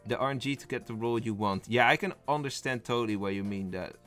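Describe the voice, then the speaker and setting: A young man whose first language is Dutch hosts a live stream, talking in a casual, rambling way as he reads the chat and lists game roles. His voice sits in a middle register. Soft background music plays faintly behind him. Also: medium pitch, fast talker.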